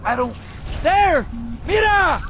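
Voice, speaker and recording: A man's voice: extremely high pitch, very animated, slow pace, full character voice. A man playing a nervous young marine in a video game cutscene. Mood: alarmed. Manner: anxious, protesting. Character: nervous, rattled young male marine soldier.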